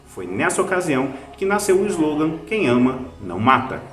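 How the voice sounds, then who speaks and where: A man's voice: fairly high pitch, big pitch swings, moderate pace, a bit bright, reverberant. A Brazilian man hosting a recommendation video in a conversational, scripted review style. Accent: Brazilian Portuguese. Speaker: video host recommending podcasts.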